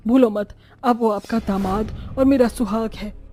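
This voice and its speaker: A woman's voice: on the high side, unhurried, warm tone, slight background noise, natural voice. A woman voicing a young, lovestruck and bossy witch bride in a horror-comedy cartoon. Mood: devoted, pleading.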